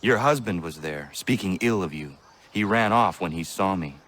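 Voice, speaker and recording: A man playing an earnest, stern young protector in a video game cutscene, speaking in formal, measured wording. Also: deep voice, animated, a bit bright. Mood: grave.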